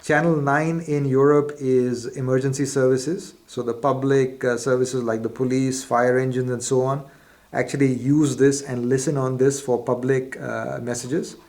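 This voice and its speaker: Male product demonstrator, mid-pitched, methodical and explanatory, unscripted tutorial delivery. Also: level tone, moderate pace, darkish tone. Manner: methodical, explanatory, formal wording. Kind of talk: instructional product walkthrough, unscripted.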